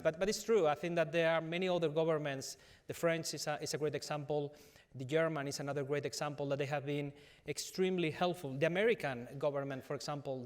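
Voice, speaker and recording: A middle-aged man with a Spanish accent, speaking earnestly at a business panel with many fillers and restarts. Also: a little high. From Spain. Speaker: company executive on a conference panel.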